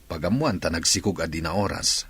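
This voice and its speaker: A man's voice: low voice, fairly quick, a bit bright, breathy edge, heavily acted. A man playing a worried, earnest father with an Ilocano accent. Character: worried middle-aged father. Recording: noisy background.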